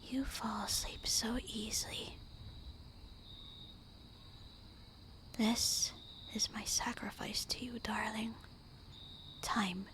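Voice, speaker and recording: A woman voicing a tender, melancholy immortal vampire wife in a breathy, intimate whispered ASMR roleplay. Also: fairly high pitch, little pitch movement, a bit bright. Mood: doting. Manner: slow, intimate, wistful murmuring.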